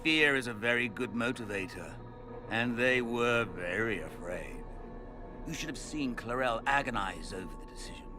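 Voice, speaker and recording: An actor playing a smug, theatrical villain, a cultist mage lord with a pompous, mocking manner in a video game cutscene. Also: male voice, medium pitch, normal speed, over music, close to an everyday voice. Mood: mocking, sly.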